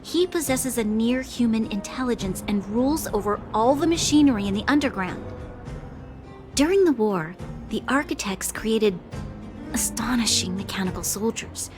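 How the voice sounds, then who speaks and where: A woman's voice: fairly high pitch, natural voice. A woman playing an earnest, dutiful young military officer in a video game, speaking formally with reserved precision. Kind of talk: video game character acting. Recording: background music under the voice.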